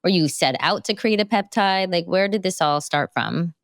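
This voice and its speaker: A woman's voice: fairly low pitch, expressive, moderate pace, no room sound, clean studio sound. A woman hosting an interview, talking casually and chattily, with plenty of filler words. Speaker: podcast host.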